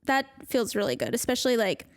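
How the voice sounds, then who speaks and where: A woman's voice: on the high side, sing-song, fast, no room sound, studio quality. A young woman co-hosting a podcast, chatting in an upbeat way with lots of fillers. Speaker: podcast co-host. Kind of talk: casual chat.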